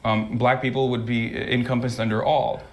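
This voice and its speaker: Halting man arguing his side. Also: mid-range voice, level tone. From United States. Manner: halting, searching for words, then argumentative. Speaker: debate guest. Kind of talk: heated debate.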